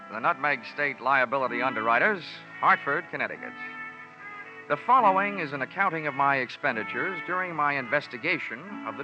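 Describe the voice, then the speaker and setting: Casting: brisk, wry private investigator for vintage radio drama, swinging pitch, dull old broadcast sound. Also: male voice. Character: brisk, wry male freelance insurance investigator. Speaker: narrator and lead detective. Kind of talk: 1940s radio detective acting, scripted narration. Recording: muffled old radio recording, loud music.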